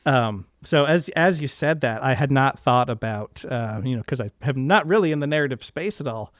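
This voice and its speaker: A man's voice: mid-range voice, lively intonation, normal speed, no room sound, studio quality. A man hosting a casual interview podcast, talking in an earnest, effusive and wordy way. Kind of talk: casual, reflective interview conversation.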